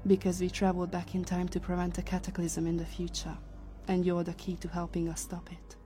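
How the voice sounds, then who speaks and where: A woman playing a composed, authoritative leader of time travelers in a science fiction audio drama, speaking in measured explanations. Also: on the low side, monotone, warm tone, faint music behind, close to an everyday voice. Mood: grave, explaining.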